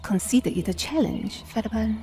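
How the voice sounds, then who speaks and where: An actress with an Italian accent playing a proud, determined partisan in a game cutscene, solemn and sometimes near a whisper. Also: female voice, fairly high pitch, animated, moderate pace, dark tone, faint music behind. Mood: challenging. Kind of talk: video game cutscene dialogue.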